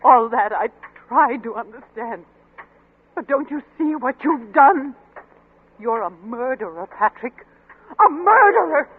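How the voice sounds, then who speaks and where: A distraught, accusing wife in a 1940s radio suspense drama. Her high, husky voice pleads with emphatic melodrama. The sound is thin and boxed in like an old broadcast. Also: expressive, measured pace, exaggerated voice. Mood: pleading, accusing. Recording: thin, narrow-band old radio recording.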